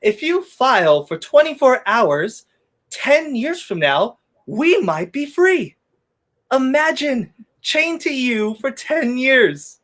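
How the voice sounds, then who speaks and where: A man's voice: very high voice, very animated, takes it slowly, a bit bright, full character voice. A young man playing a wry, weary fugitive hero in a 1930s radio play, sardonic and theatrical in manner. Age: young adult.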